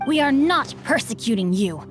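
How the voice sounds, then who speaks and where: Bookish noble boy, highish voice, formal and theatrical game character. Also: expressive, moderate pace, over music. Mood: composed, dramatic. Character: refined bookish teenage boy swordsman, self-assured, theatrical. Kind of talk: video game character acting.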